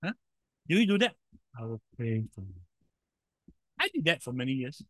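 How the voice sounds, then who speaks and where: A man preaching a sermon in a loud voice, emphatic, with sudden swings in volume and frequent rhetorical questions. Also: medium pitch, big pitch swings, normal speed.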